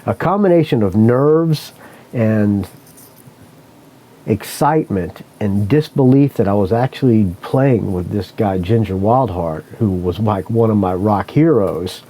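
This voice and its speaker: A man's voice: fairly low pitch, animated, unhurried, dark tone, poor audio. A man hosting a podcast, telling a story in a casual, rambling way, correcting himself often and swearing freely. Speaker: podcast host.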